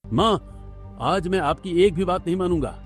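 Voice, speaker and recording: A man's voice: sits high, unhurried, pitched above the performer's own voice. A man voicing an indignant young husband who firmly reproaches his parents in a cartoon story. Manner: firm, reproachful. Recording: background music under the voice.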